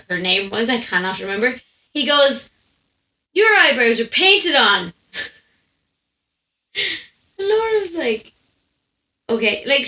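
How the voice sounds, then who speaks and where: A young woman with an Irish accent hosts a storytelling podcast. Her voice is fairly high and sharp-edged, and she talks in an animated, chatty style. The room adds a noticeable echo around her. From Ireland. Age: young adult. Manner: animated, chatty, laughs while telling. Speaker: podcast host. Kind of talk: casual comic anecdote storytelling. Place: indoor room at home. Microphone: condenser microphone on stand, close. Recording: roomy echo.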